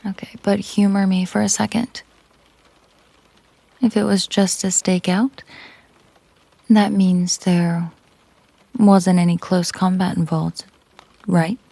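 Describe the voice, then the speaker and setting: Slow, husky woman acting a dominant mafia boss girlfriend. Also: on the low side, flat delivery, dark tone, no room sound, full character voice. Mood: cool, menacing. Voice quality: breathy, husky. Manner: slow, teasing, commanding, drifting into breathy murmurs. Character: possessive, dominant female mafia boss girlfriend, coolly menacing. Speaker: audio roleplay performer. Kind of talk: intimate ASMR-style audio roleplay.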